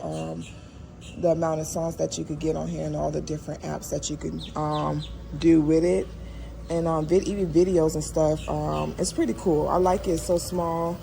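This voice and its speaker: A woman's voice: deep voice, flat delivery, a little fast, creaky, background noise. A woman giving a casual, folksy hands-on product demo. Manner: folksy, informal. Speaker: product reviewer.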